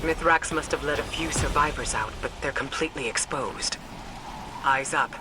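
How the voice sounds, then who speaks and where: A man plays a composed military commander guiding a soldier through a video game mission. His voice sits slightly high for a man, with crisp, urgent, tactical delivery. Loud music runs behind him. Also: moderate pace, lightly acted. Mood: grim, urgent. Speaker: mission guide.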